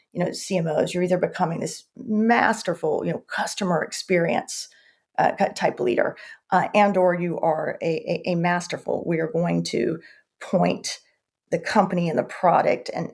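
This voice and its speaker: A woman's voice: fairly low pitch, creaky, a little mellow, breathy edge. A woman answering as a podcast guest in conversation, speaking emphatically and often repeating words as she goes. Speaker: podcast guest, marketing executive.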